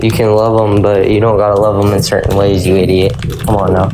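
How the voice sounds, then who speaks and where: Dismissive, mocking man in a debate; low voice on a noisy call. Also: monotone, brisk. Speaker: debate caller. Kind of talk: heated online debate. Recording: noisy online call audio.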